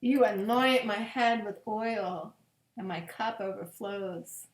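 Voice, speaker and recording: A woman pastor reading scripture aloud as a children's bedtime story, in measured, deliberate phrases with long pauses. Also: mid-range voice, steady tone, noisy background. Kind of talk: gentle read-aloud of scripture picture books. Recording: echoey room.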